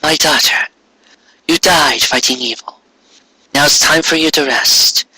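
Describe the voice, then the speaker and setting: Guy reading as a gentle regal queen mom, solemn n measured, really bright sound. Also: fairly high pitch, slightly rough, no room sound, full character voice, rough recording. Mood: solemn, consoling. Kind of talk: fan fiction story reading.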